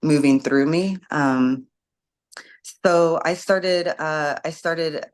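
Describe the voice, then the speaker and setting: A woman's voice: low voice, takes it slowly. A woman guest in an interview, talking thoughtfully about her community organizing work, with frequent ums and restarts. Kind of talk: casual interview conversation.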